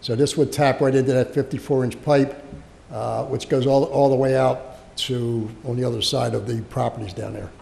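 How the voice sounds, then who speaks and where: American male, creaky-voiced local official giving a halting, unscripted presentation in a meeting hall with long reverb. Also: mid-range voice, fairly even intonation, warm tone. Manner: halting, repeats words and phrases. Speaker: local government official presenting a project to a township board. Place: indoor township meeting room.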